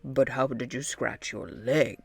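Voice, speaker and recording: A woman's voice: a bass voice, measured pace, creaky, breathy edge, no echo, voice pushed down, full character voice. A woman voicing a skeptical, nagging camel with a blunt, doubting manner in a children's storybook.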